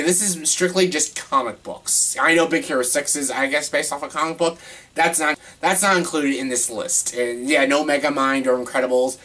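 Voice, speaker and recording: Congested man rambling excitedly. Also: on the high side. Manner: excitable, rambling, repeats himself. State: congested with a cold. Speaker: vlogger ranking films. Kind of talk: casual top-ten video monologue.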